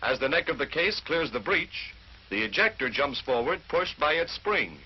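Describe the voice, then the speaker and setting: A man's voice: fairly high pitch, big pitch swings, normal speed, husky. An American man narrating a 1940s instructional film in a scripted, methodical, instructive way. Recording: dull, noisy 1940s film soundtrack.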